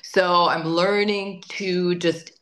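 A woman's voice: on the low side, steady tone, takes it slowly, fairly bright tone. A woman singer-songwriter being interviewed, talking in a chatty, emphatic way and often repeating words while she thinks. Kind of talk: casual interview conversation.

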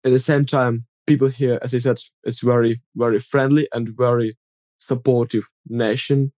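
A young man from Ukraine with a Ukrainian accent, answering interview questions in careful, slightly halting English. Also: mid-range voice, little pitch movement, a little mellow, no room sound, lo-fi sound.

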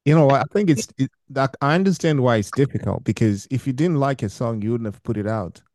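A man hosting an interview, asking measured questions and answering with short, calm affirmations. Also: mid-range voice, dry recording, clean studio sound. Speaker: interview host.

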